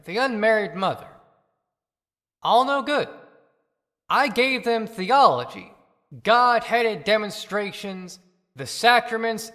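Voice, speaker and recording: An exasperated preacher, played by a man, complains in a raised, bright voice. Clipped phrases ring in a long reverb, as in a theatrical audio play monologue. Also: high voice, full character voice. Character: exasperated, failing small-town preacher.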